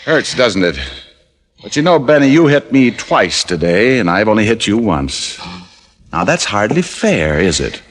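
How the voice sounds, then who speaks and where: A wisecracking private eye. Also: male voice, on the low side, sing-song, a little slow. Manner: dry, wisecracking. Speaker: detective and narrator. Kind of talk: 1950s radio drama narration and acting. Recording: old radio recording with loud music.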